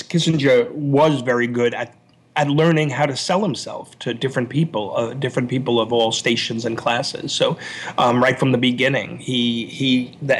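A man speaking as an author being interviewed, explaining ideas in an analytical way with halting, repeated word starts. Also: medium pitch. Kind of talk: interview discussion, explanatory and scholarly.

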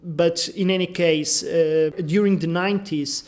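A man with a Portuguese accent, an economist answering interview questions haltingly in his office. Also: a little high, fairly even intonation, darkish tone. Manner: hesitant, many fillers and restarts. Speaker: economist guest.

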